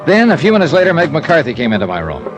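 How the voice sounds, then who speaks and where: An actor playing a wry, tough American insurance investigator, clipped and hard-boiled, in 1950s radio detective style. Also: male voice, on the high side, huge pitch swings, fast. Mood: brisk, dry. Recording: old radio broadcast with music.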